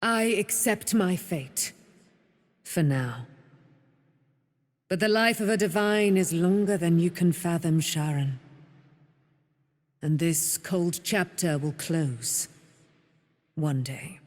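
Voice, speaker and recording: A woman's voice: lowish voice, slow pace, pauses often, no room sound. An actress voicing a proud, righteous immortal warrior woman in a fantasy game, speaking in a grand, declamatory manner with archaic, formal diction. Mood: bitter resignation. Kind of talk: fantasy video game character acting.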